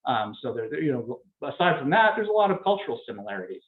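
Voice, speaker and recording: A man giving an academic political science lecture, explaining in a measured way with frequent fillers. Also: mid-range voice, very animated. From Canada. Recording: tinny phone-like lecture recording.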